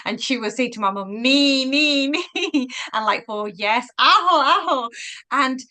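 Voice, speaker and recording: Bright-voiced woman author. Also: sits high, animated, a little slow. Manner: chatty, laughs often, many fillers. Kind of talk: casual virtual book launch chat.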